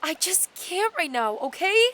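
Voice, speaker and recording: A woman's voice: very high-pitched, sing-song, measured pace, fairly bright tone, exaggerated voice. A woman playing a withdrawn, weary teenage girl in an audio drama, hesitant and apologetic. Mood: exasperated. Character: weary, withdrawn, depressed teenage girl, a high school student.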